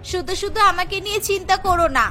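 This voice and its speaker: A woman's voice: very high voice, fairly quick, pushed and bright. A woman voicing a vain, scornful teenage schoolgirl in a cartoon. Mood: defiant. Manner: scornful, sassy. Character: haughty, vain teenage schoolgirl obsessed with long nails. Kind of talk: Bengali cartoon voice acting.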